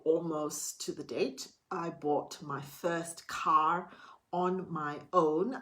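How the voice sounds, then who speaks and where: A middle-aged woman talking candidly to camera in a casual late-night vlog, chatty and a little theatrical. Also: on the low side. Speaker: vlogger.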